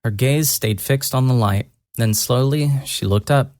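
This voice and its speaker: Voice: medium pitch, unhurried, a little mellow, no echo, clean studio sound. A narrator reading a dramatic first-person story in a scripted style.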